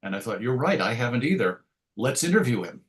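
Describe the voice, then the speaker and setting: A man's voice: medium pitch, sing-song, fairly quick. A middle-aged man giving a casual interview, telling anecdotes in a chatty, wry storytelling way. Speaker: interview guest, comics writer and editor.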